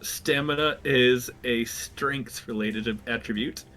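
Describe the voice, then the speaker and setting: A man's voice: mid-range voice, slow pace, rough recording. A man talking casually as a player in a tabletop roleplaying game, working through plans and dice rolls. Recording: faint music under the voice. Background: soft background music.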